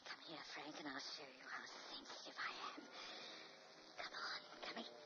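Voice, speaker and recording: An actress playing a cruel, mocking female sculptor who taunts in low whispers, in an old radio drama. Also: fairly even intonation, normal speed. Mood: seductive menace. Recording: dull old radio recording with hiss.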